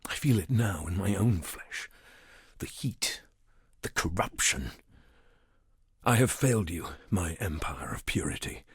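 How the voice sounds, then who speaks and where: Hushed, broken old-world emperor. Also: male voice, low-pitched, big pitch swings, no room sound, studio quality, exaggerated voice. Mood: despairing remorse. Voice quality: breathy, whispery. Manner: hushed, mournful, halting. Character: broken, remorseful fallen emperor of a dying legion. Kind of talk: scripted video game monologue.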